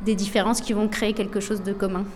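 Hesitant young woman, relaxed chat. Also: medium pitch, fast, a loud crowd. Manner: hesitant, many fillers and restarts. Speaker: illustrator and author of books. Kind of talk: radio interview, spontaneous answers.

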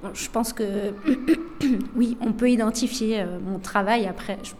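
Hesitant young woman, relaxed chat. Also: a little high, unhurried, slight background noise. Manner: hesitant, many fillers and restarts. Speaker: illustrator and author of books. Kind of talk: radio interview, spontaneous answers.

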